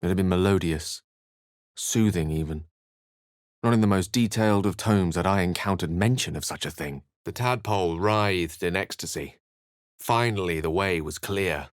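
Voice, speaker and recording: A man's voice: sits low, moderate pace, smooth, no room sound, clean studio sound, lightly acted. A man voicing an eloquent, bookish, theatrical wizard in a video game, speaking with refined and wry wording. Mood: narrating, thoughtful. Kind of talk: video game character dialogue and narration.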